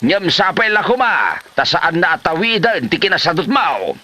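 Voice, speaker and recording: A man's voice: high voice, sing-song, normal speed, sharp tone, exaggerated voice. A man with a Filipino Ilocano accent playing an exasperated older father who scolds his lazy son in a comedy drama. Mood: exasperated scolding.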